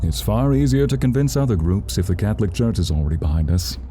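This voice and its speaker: A man's voice: lowish voice, animated, quick pace, dark tone, slight background noise, close to an everyday voice. A man playing a dignified, idealistic demon lord in an audio drama, with a courtly and encouraging manner.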